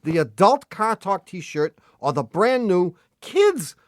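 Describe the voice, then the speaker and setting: A man's voice: high voice, very animated, no room sound, studio quality. A man co-hosting a radio call-in show, bantering playfully and laughing often. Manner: playful, teasing, quick laughs. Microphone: studio mic.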